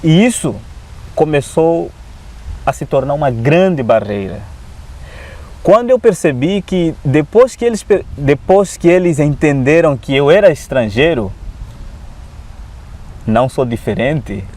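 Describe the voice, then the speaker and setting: Man with an African accent, high voice. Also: measured pace, pauses often. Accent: African-accented Portuguese. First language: not Portuguese. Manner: animated storyteller who often adds "né". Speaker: Adventist preacher, guest. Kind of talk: personal testimony in conversation.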